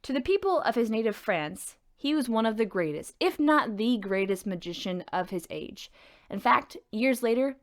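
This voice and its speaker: A woman's voice: fairly high pitch, big pitch swings, moderate pace. A woman reading a scripted historical story as a narrator.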